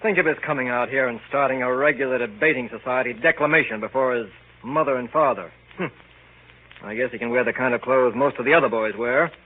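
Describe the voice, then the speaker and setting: An actor playing a stern, middle-aged father in 1930s radio drama, lecturing and gruffly dismissive. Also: medium pitch, lightly acted. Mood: scornful grumbling. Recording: tinny, hissy old 1930s radio recording.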